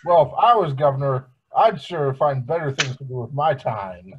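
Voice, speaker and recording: A man voicing a lazy, grumbling middle-aged cartoon dad with a husky, whining delivery. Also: medium pitch, animated, normal speed, muffled recording, noisy background, close to an everyday voice. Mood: indignant. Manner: whining, indignant.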